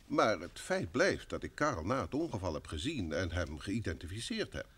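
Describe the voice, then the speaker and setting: An actor playing a polite, evasive gentleman in an old radio drama, formal and somewhat hesitant. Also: sits low, very animated, normal speed, husky, exaggerated voice. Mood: polite, defensive. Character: polite, evasive gentleman, brother of a worried woman.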